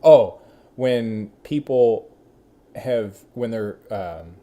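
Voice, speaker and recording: A man's voice: fairly low pitch, expressive, very slow, dark tone. A young man co-hosting a podcast, chatting casually, swearing often and calling his friend dude. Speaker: podcast co-host. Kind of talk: casual chat.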